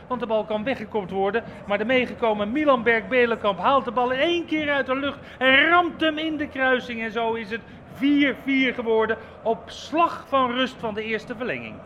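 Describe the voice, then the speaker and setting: A man giving live, excited and dramatic football radio commentary as a match reporter. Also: very high voice, normal speed, hardly any pauses, muffled recording. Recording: stadium ambience and noise. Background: football ground ambience.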